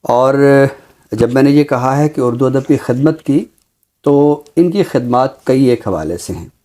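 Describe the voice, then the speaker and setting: A man with a Pakistani accent presenting a literary book review in a measured, scholarly, deliberate way. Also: mid-range voice, mellow. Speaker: literary guest presenter introducing books.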